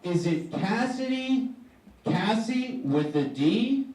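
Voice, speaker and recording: A woman working as a comedy MC, playfully announcing the next act in a drawn-out, teasing way, in an echoey venue at some distance from the microphone. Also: medium pitch, animated, warm tone. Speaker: MC introducing comedians. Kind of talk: playful stage introduction. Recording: echoey venue, far from mic.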